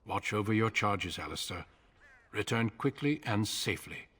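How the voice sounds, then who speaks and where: A husky, grave commander. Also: male voice, lowish voice, expressive, a bit bright. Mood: grave, instructing. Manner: measured, formal, commanding. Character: grave, authoritative commander of warrior order. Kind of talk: video game voice acting.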